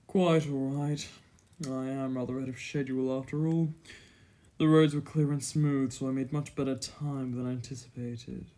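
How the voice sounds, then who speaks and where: A man's voice: medium pitch, fairly even intonation, dark tone, lightly acted. A man playing a self-assured, courtly and condescending vampire prince in a scripted fantasy ASMR roleplay. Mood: courteous, dismissive. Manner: formal, condescending, deliberate.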